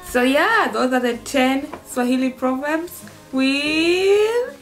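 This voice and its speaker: Young woman vlog host, bright higher voice, playful, bedroom recording. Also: animated, takes it slowly, soft background music. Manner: playful, laughs often, teaching Swahili phrases. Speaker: vlogger host. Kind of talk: casual chat. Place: bedroom, indoors.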